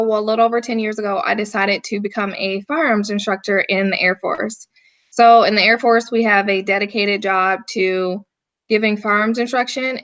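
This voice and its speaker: A woman's voice: fairly high pitch, a bit bright. Laid-back Southern woman in her thirties. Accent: Southern American. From North Carolina. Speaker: guest, firearms instructor. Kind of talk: casual interview answers.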